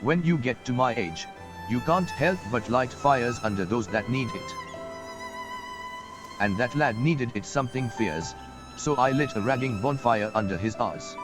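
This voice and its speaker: A man's voice: mid-range voice, unhurried, lightly acted. An actor playing an older male mentor who talks in a folksy, wry, encouraging way, with game music behind him. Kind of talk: game voice acting.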